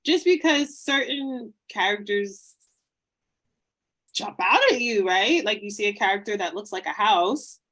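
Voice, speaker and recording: A woman's voice: medium pitch, a little slow, fairly bright tone. Rambling, laughing woman podcast host. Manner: rambling, hesitant, self-deprecating, laughs often. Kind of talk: casual interview chat.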